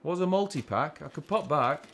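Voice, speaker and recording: A teasing young man talks plainly in a radio drama. His pitch moves a lot between lines. Faint noise runs beneath his voice. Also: lively intonation, normal speed, creaky, fairly bright tone. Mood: casual. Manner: laid-back, teasing, plain-spoken. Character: Jay, easygoing young man, Sarah's loyal mate. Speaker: actor.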